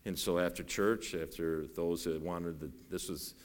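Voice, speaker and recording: A middle-aged man with a bass voice preaching a sermon in a folksy, self-deprecating way, rising to raised, urgent preaching. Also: steady tone, a little slow. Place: church sanctuary. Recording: long reverb in a large hall.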